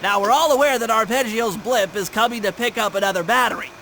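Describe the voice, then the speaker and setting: A man's voice: high voice, fast talker, bright, forceful tone, a little hiss or hum. A man voicing a brainy, fussy young turtle gadget expert with precise, bookish speech in a cartoon game. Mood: earnest, commanding. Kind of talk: cartoon video game briefing. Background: music.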